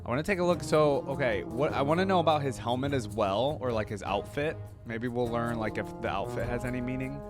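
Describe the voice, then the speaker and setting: A man's voice: mid-range voice, brisk, hardly any pauses. A man giving casual, off-the-cuff reaction commentary, musing aloud as he works through his guesses. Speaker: reaction video host. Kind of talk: casual reaction commentary over game videos. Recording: music playing behind the voice. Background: game video soundtrack and theme music.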